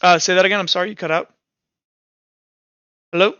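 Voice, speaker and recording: A man with a bright, high voice runs a tabletop game as game master. He talks through rules and describes the action in a halting, self-correcting flow. The sound is dry, with the slightly dulled quality of an online voice chat. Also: fairly even intonation, clear, resonant tone, no room sound, muffled recording. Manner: halting, repeats and restarts phrases. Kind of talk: improvised tabletop game narration and rules talk. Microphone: voice call over Discord.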